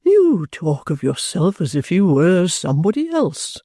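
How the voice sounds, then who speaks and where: A reader voicing a kindly, vehement gentleman guardian in an audiobook, speaking with earnest, drawn-out emphasis. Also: medium pitch, big pitch swings, slightly rough, no room sound, studio quality, exaggerated voice. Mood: exasperated.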